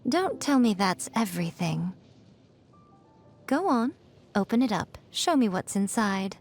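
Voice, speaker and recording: A woman's voice: medium pitch, very animated, unhurried, faint music behind. An actress playing a sly, self-assured, teasing woman in a video game, with coy delivery. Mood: playful, teasing.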